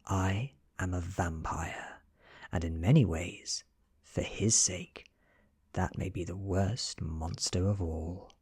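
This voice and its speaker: A British man narrates a story in soft ASMR style. His voice is deep and husky, often falling to a whisper. He reads at a slow, measured pace. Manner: hushed, often dropping to a whisper. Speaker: audiobook reader.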